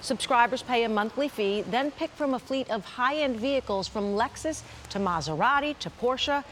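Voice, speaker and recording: Higher-voiced woman presenting TV news. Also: lively intonation, moderate pace, fairly bright tone, a little hiss or hum. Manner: chatty, addresses viewers directly. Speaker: TV news anchor and consumer reporter. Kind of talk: broadcast news presenting, conversational.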